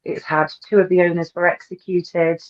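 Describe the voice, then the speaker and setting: A woman's voice: on the low side, level tone, normal speed. Muffled woman, eager. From English East Midlands. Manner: enthusiastic, hesitant with many ums and repeats. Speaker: guest author and paranormal researcher. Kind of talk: casual interview chat.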